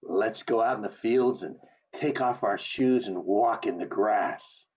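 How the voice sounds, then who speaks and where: A man playing a restless, eager young small-town man with big dreams in an amateur radio play, earnest and expressive. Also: fairly low pitch, measured pace, close to an everyday voice. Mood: eager, romantic. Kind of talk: community theatre radio play acting. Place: remote video call. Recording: dull lo-fi video call audio.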